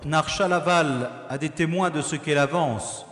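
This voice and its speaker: An actor playing a brisk, wry special agent in a science-fiction audio drama. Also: male voice, on the high side, fairly bright tone, close to an everyday voice. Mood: accusing. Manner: terse, informal, questioning. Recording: echoey reverb with music.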